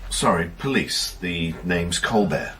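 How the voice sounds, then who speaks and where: A man's voice: sits low, lively intonation, takes it slowly, fairly bright tone, slight background noise, heavily acted. A man playing a polite, apologetic but persistent police inspector in a radio drama. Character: polite, low-key male detective inspector, apologetic yet persistent.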